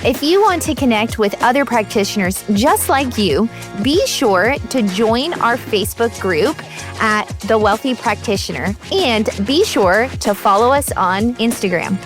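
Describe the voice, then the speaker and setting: A woman's voice: a little high, expressive, measured pace. A woman podcast host reading a polished, scripted outro. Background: music.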